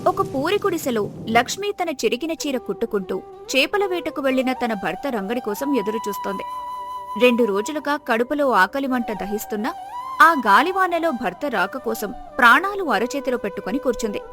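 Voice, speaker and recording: A woman's voice: on the high side, expressive, normal speed, music in the background. A woman narrating a scripted moral story as the storyteller.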